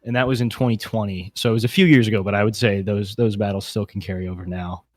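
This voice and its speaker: Deeper-voiced man hosting a casual podcast. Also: fairly even intonation, quick pace. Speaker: podcast co-host. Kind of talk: casual podcast discussion of beatbox competitors.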